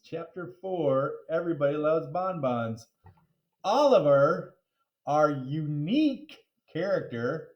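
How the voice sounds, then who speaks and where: A man's voice: high voice, a little mellow, echoey room. A man reading a children's storybook aloud in a playful, dramatic manner with chatty asides.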